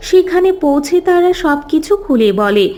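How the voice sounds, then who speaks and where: High-voiced woman narrating a cartoon story. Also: expressive, normal speed, mellow.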